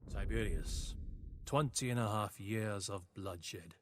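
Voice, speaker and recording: Weary old-war crusader knight narrating a game campaign, solemn n measured, music behind him. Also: male voice, on the low side. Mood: somber. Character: captured crusader knight, weary reflective chronicler in Saracen service. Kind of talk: scripted narration. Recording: game cutscene with music underneath.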